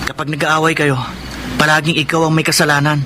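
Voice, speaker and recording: A man's voice: a little high, completely flat delivery, fairly quick, natural voice. Man acting put-upon Filipino teenage son, noisy recording. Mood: concerned, wary. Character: dutiful, put-upon teenage eldest son, wryly joking. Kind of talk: Filipino radio drama acting. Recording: very noisy radio drama soundtrack, background ambience.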